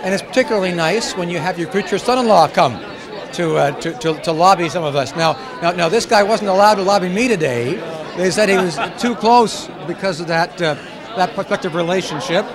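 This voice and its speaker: A man's voice: sits high, a crowd in the background. A man who is a member of Parliament, answering interview questions casually with restarts and fillers. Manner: halting, with many restarts and fillers.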